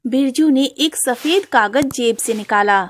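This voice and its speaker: A woman narrating a scripted animated folk tale as its storyteller. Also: high voice, unhurried, dry recording.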